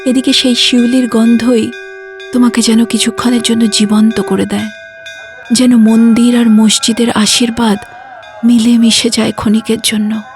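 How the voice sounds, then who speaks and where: A woman's voice: on the high side, little pitch movement, normal speed, faint music behind, lightly acted. A woman playing a weary, devoted daughter who cares for her bedridden father, confiding and emotional in an intimate audio drama monologue. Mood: wistful.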